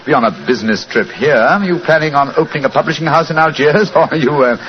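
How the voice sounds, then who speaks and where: An actor playing a shrewd, courteous English detective in a 1940s radio drama, speaking in a clipped, precise, urbane way. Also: male voice, on the high side, quick pace, background noise, close to an everyday voice. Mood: probing. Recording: muffled 1940s radio broadcast with music.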